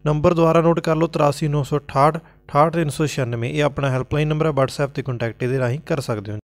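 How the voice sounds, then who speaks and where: Quick Punjabi man giving a helpline number. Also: fairly high pitch, fairly even intonation. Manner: quick, instructional, repeats the number. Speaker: channel helpline announcer. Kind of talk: promo announcement.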